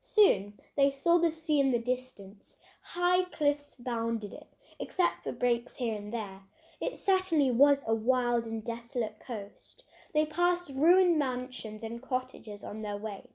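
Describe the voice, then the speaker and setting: High-pitched woman reading a storybook. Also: animated, takes it slowly, darkish tone. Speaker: storybook reader. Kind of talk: expressive children's audiobook narration.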